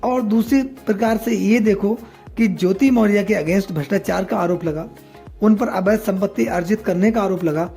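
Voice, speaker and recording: A man's voice: high voice, normal speed, vocal fry, dark tone. A man delivering opinionated news commentary to viewers, moralizing and posing rhetorical questions. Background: background music.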